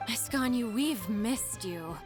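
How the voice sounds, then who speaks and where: Animated, admiring young woman. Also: a little high, slow. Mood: adoring. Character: young woman of the harbor, warm, admiring and reverent. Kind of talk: anime game voice acting. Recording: game music behind the voice.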